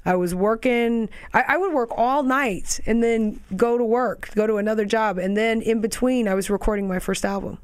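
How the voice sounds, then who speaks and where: A young woman with a raspy voice, chatting as an interview guest and drifting into quick tangents and restarts. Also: fairly high pitch, dry recording. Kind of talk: casual interview chat.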